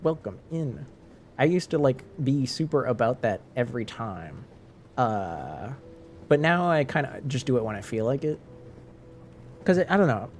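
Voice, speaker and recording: A man's voice: medium pitch, big pitch swings, normal speed, darkish tone, a little hiss or hum. A man from French Canada with a light French Canadian accent, talking casually to his stream viewers, rambling with many restarts and fillers.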